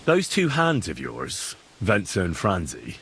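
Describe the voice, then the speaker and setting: An actor playing an earnest young errand-running hero in a video game, polite but stubborn, with a straightforward manner. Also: male voice, sits low, wildly swooping pitch, a little slow, fairly bright tone, slight background noise, full character voice. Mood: inquiring.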